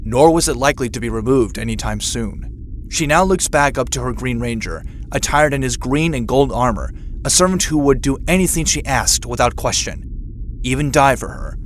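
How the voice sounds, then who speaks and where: A male narrator delivers scripted audio drama in a mid-pitched voice. The narration is dramatic and measured in its wording, with faint noise in the background. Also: expressive.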